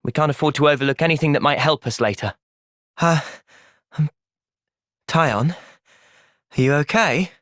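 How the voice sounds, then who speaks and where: A man's voice: fairly high pitch, normal speed, long pauses, a bit bright, dry recording, clean studio sound, close to an everyday voice. A young man with a British accent playing an earnest, thoughtful, polite and determined young hero in video game cutscenes.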